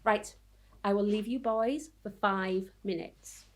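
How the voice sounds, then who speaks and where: A woman's voice: medium pitch, takes it slowly, close to an everyday voice. A woman acting in a short film as a protective, weary mother, restrained and politely firm. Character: protective, weary mother of a sick young boy.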